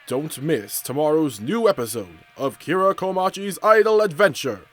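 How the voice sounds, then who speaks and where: A man's voice: on the high side, animated, moderate pace, soft background music, natural voice. A man playing a theatrical TV announcer, reading a suspenseful promo for a cartoon show in a fandub. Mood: dramatic, hyping. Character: TV announcer plugging a cartoon idol show, dramatic.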